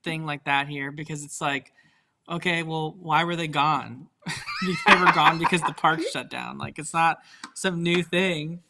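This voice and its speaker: A co-host on a casual live stream, easygoing and quick to laugh. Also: medium pitch, steady tone, vocal fry, noisy background. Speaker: livestream co-host.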